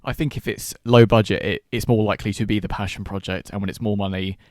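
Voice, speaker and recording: A man with a slightly low voice joins a casual film discussion as co-host. He phrases things tentatively, feeling his way through each point, in a dry, close recording. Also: steady tone, fast talker, no room sound. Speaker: podcast co-host.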